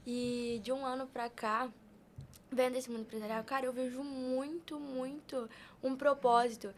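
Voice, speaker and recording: Brazilian woman, pretty high voice, earnest casual podcast chat, kinda preachy motivational and emphatic. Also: a little hiss or hum. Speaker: podcast guest. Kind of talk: conversational faith-based motivational talk.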